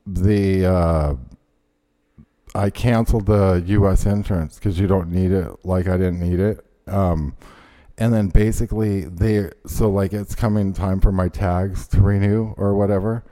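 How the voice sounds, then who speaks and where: A man's voice: low voice, warm tone. A man hosting a casual talk show over an internet call, speaking informally with some swearing. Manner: informal, profane. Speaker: host of a talk podcast. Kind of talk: casual chat. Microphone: remote internet call.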